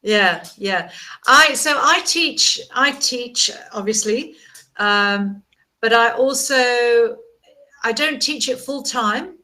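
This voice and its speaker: A woman's voice: a little high, slow pace, bright, forceful tone. A woman chatting as an online English tutor, encouraging and chatty, often repeating words. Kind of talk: casual video-call chat.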